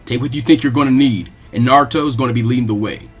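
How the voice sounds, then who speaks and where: A man voicing a calm adult male ninja team leader in a story reading, measured and assured. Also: medium pitch, slightly rough, soft background music, voice pushed down, close to an everyday voice. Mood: decisive.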